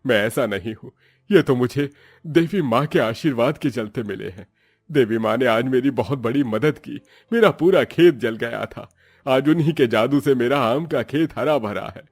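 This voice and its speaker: A man playing a humble, devout middle-aged farmer and father in an animated moral story, speaking earnestly with exclamations. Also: mid-range voice, moderate pace, no echo, lightly acted. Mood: earnest, insistent. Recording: faint music behind.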